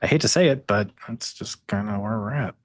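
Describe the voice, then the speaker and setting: Low-voiced wry podcast co-host. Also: male voice, fairly quick, dry recording, studio quality. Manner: short wry remarks, teasing. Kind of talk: casual chat.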